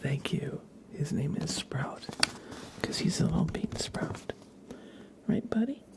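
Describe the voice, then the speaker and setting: A male ASMR streamer speaks in a breathy whisper, close to the mic. His delivery is gentle and unhurried, dipping into gravelly murmurs and lifting playfully with a lively swing in pitch. It feels like casual, quiet chat with viewers.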